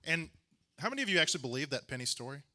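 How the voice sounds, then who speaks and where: Very bright, quick-talking man. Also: fairly high pitch, big pitch swings. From Arkansas, United States. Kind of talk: casual banter before an audience.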